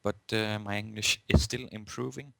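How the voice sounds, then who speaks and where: A man's voice: on the low side, steady tone, normal speed, vocal fry, dry recording. A man with a Danish accent, a non-native speaker co-hosting a casual tech podcast in a plain, matter-of-fact way. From Denmark. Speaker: podcast co-host.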